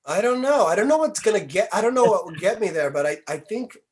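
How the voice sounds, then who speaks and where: A man's voice: high-pitched, expressive, quick pace, darkish tone. A man speaking casually and earnestly as a filmmaker being interviewed on a podcast, reflective in his way of talking.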